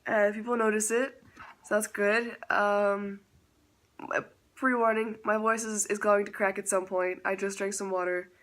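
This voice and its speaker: A man's voice: high-pitched, flat delivery, measured pace, a bit bright. A man chatting casually in a vlog update, self-teasing and laughing often, with a stuffy nose from a slight cold. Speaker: vlogger.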